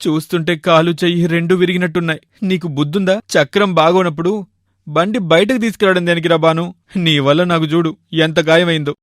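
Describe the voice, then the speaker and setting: A man's voice: a little high, fairly even intonation, fairly quick, no room sound, clean studio sound, lightly acted. A man voicing a fussy, food-loving bachelor shopkeeper in a story, bossy and given to complaining. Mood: angry, pained.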